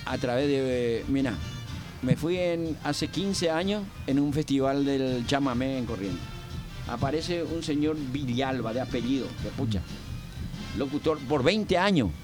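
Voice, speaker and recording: Older man from Argentina, radio guest, halting and rambling delivery, music audible underneath. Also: mid-range voice. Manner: rambling, halting with many fillers. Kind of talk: casual radio interview.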